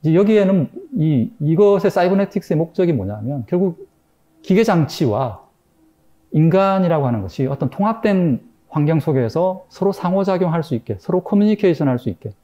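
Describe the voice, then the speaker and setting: A man's voice: on the high side, very animated, a little fast, dark tone, muffled recording. A middle-aged man giving an academic lecture in a room, thoughtful and hesitant, with many fillers and restarts.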